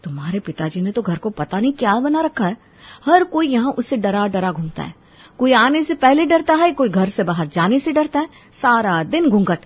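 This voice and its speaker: A woman's voice: a little high, sing-song, moderate pace, breathy edge, dull audio. A woman voicing a frustrated young daughter-in-law in a story drama, complaining with exasperation. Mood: exasperated. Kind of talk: Hindi moral-story audio drama.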